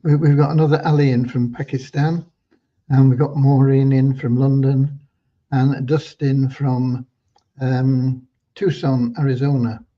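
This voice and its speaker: A slightly hoarse man hosting an informal livestream update for members, talking in a rambling, halting way with hesitant repeats. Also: medium pitch, level tone. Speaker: host giving business updates to members. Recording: dull, lo-fi online audio.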